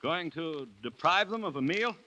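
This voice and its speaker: An actor playing an anxious, grave commissioner who recounts a jungle siege in a dramatic 1940s radio style. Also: male voice, fairly high pitch, animated, lo-fi sound. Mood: alarmed. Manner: dramatic, measured storytelling with tense dialogue. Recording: vintage 1940s radio recording, loud music.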